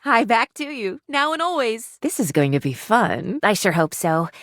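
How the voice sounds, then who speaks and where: Eager, high-voiced, quirky young lady doctor. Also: expressive, normal speed, a bit bright, no room sound, studio quality. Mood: cheerful. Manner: theatrical, gushing, old-fashioned whimsical wording. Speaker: voice actress in a dating game. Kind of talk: animated video game character acting.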